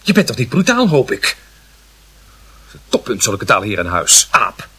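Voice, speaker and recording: An actor playing a strict, quick-tempered father in a radio play, scolding sharply and indignantly. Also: on the low side, wildly swooping pitch, pushed and bright, husky, heavily acted. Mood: outraged, scolding.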